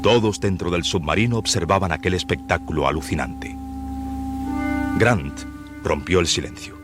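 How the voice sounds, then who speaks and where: A man's voice: low voice, normal speed, lightly acted. A man narrating a suspense radio drama as a grave, solemn storyteller. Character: grave, solemn male storyteller of a science fiction tale. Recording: music behind the voice.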